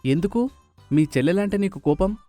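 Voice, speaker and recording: Guy putting on a touchy defensive husband in a telugu cartoon, raised pitch, indignant, bit of music. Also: lively intonation, normal speed, warm tone. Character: irritable husband, defensive and argumentative. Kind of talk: animated moral story dubbing.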